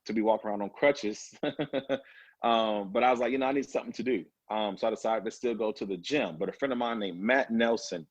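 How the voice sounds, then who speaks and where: A man's voice: on the low side, fairly quick, dry recording, dull audio. A man in his thirties, a personal trainer, telling his story as a guest in a casual interview, with many fillers along the way. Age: 30s.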